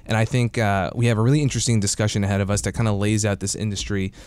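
Man, low voice, podcast host, casual, filler-heavy talk. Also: level tone, very fast, a little mellow, smooth, dry recording. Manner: conversational, many fillers like "you know" and "uh". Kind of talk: casual investing podcast chat.

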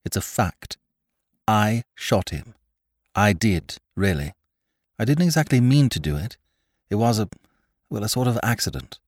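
A man's voice: fairly low pitch, very animated, measured pace, pauses often, a little mellow, no echo, clean studio sound. A man voicing a young aristocratic woman who is earnest, anguished and stubbornly insistent, in an audiobook novel. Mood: grave, hesitant. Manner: grave, earnest, formal phrasing.

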